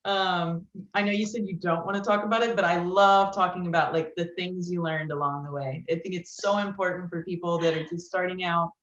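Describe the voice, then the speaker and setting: A woman's voice: fairly low pitch, steady tone, fairly quick, hardly any pauses. A woman interviewing a guest in a casual, informal and encouraging way, peppering her talk with "like". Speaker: interviewer, real estate investor. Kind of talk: casual video interview chat. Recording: echoey, dull lo-fi call audio.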